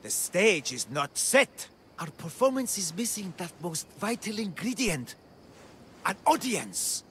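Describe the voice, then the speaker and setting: Vain theatrical game diva, highish slow grand delivery, faint noise. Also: male voice, very animated, a bit bright, full character voice. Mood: flamboyant. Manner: grandiose, flamboyant. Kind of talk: comic video game acting.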